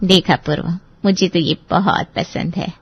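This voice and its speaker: A woman's voice: fairly low pitch, fairly even intonation, unhurried, slightly rough, dry recording, dull audio. A woman playing a teasing, affectionate elder sister in a family audio drama. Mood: fond, playful. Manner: playful, teasing.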